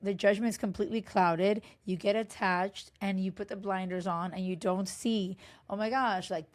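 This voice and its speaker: A woman's voice, worn hoarse by a cold, talks straight to the listener as a relationship coach. She speaks in her thirties at an ordinary middle pitch, frank and chatty, stumbling over restarts and leaning on "you know.". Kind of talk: casual direct-to-camera advice talk.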